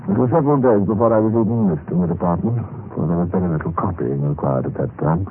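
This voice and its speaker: An actor playing a dead Russian clerk who gravely narrates his own story in an old radio drama, measured and theatrical. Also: low voice, animated. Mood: mournful, grave. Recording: muffled old radio recording with music. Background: music.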